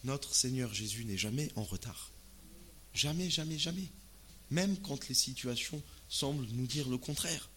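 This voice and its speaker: Male preacher, medium pitch, informal and expressive sermon delivery, live recording with light background noise. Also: measured pace. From Alsace, France. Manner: expressive, uses rhetorical questions and acted-out asides. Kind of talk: sermon in conversational, colloquial language.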